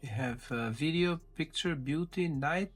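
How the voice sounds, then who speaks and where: A man with a somewhat high-pitched voice talks through a device as a tech reviewer. His delivery is informal and goes step by step, like a casual unboxing commentary. Faint music plays behind him. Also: a little slow. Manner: informal, step-by-step narrating while handling a device.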